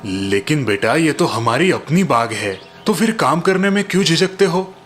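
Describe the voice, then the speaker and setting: A man voicing a worried, patient, middle-aged village father in a cartoon story, pleading gently. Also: a little high, sing-song, normal speed, faint music behind.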